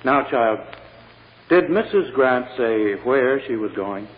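A forceful courtroom prosecutor in old-time radio style. His delivery swings widely in pitch and is insistent and theatrical. The sound is muffled and band-limited, with a long hall reverb. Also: animated. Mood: pressing, skeptical. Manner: oratorical, insistent, dramatic pauses. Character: forceful, theatrical male prosecutor pressing witness and jury. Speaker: actor in a radio courtroom drama.

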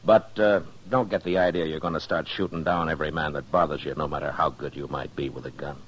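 An actor playing a dry, no-nonsense frontier marshal in a 1950s radio western, laconic and plain-spoken. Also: male voice, very deep voice, steady tone, fast, slight background noise. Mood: warning. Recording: muffled old radio recording.

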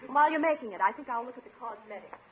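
A woman as touchy, coquettish high-voiced date. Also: animated, racing, a crowd in the background, close to an everyday voice. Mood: sweet, coquettish. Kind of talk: 1950s radio comedy acting. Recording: muffled 1950s radio, audience behind.

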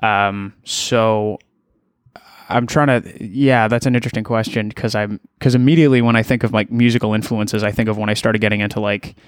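A man's voice: lowish voice, steady tone, brisk, clear, resonant tone, no echo, clean studio sound. A young man from Ontario, a musician guest on a podcast, rambling and hesitant with many restarts. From Ontario, Canada. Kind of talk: casual podcast interview.

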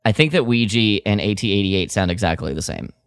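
A man's voice: on the low side, lively intonation, fairly quick, smooth, no room sound, clean studio sound. A man co-hosting a podcast in casual chat, with an informal, playful way of bantering. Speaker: podcast co-host.

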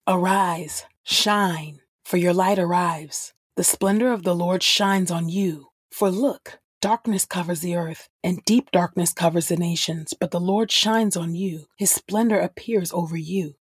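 A woman hosting a podcast, reading scripture aloud in a measured style. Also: on the low side, fairly even intonation, slightly rough, dry recording, studio quality. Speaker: podcast host and scripture reader.

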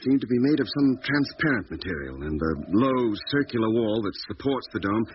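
Actor as a hesitant, expressive male space doctor. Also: medium pitch, a little fast, lightly acted. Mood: hesitant, careful. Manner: hesitant, defensive. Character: defensive, thoughtful male doctor on a space crew. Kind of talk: 1950s radio drama acting. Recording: muffled, noisy 1950s radio recording.

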